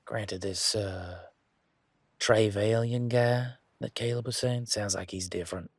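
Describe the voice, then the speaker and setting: A man playing an easygoing, teasing country-boy werewolf bodyguard in a roleplay audio drama, talking in a folksy, informal way with drawn-out hesitations. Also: fairly low pitch, steady tone, no room sound. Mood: conversational. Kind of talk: roleplay audio drama, one-sided conversation.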